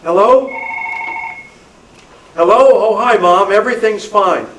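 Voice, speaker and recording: A man's voice: sits high, slow, a bit bright. A man playing an eerily innocent young boy obsessed with boogeymen in an amateur radio thriller. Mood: innocent, matter-of-fact. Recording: echoey room, distant mic, faint noise.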